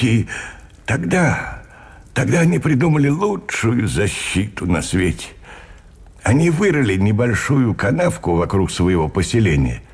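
An actor playing an earnest, idealistic prisoner in a radio play, husky and halting, repeating his words. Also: mid-range voice, exaggerated voice. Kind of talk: Russian radio play acting.

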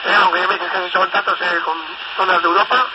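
A man's voice: high-pitched, level tone, fast talker. A man chatting casually over CB radio, enthusiastic and rambling, often repeating himself. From northern Spain. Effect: FM CB radio transmission. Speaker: amateur CB radio operator. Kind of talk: casual radio contact chat. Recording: noisy, dull CB radio transmission. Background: loud radio static and noise.

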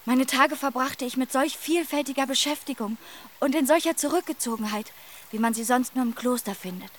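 A woman's voice: high voice, brisk, slight background noise, natural voice. An actress in a radio play voicing a young noblewoman who speaks of her misfortune with formal, earnest sorrow. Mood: sorrowful.

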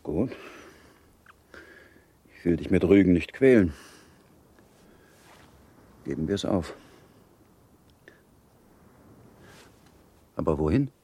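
An actor playing a composed older baron and husband in a literary radio play, measured and dryly ironic, with a husky, mellow voice. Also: male voice, sits low, lively intonation, heavily acted. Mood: resigned.

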